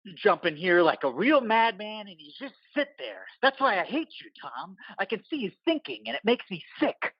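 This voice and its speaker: Man acting a manic, high-pitched villain. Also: sing-song, moderate pace, few pauses, slightly rough, dry recording, muffled recording, heavily acted. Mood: taunting menace. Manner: taunting, gleeful, maniacal laughing. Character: manic cackling villain driver, taunting, unhinged gang killer. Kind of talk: audio play acting.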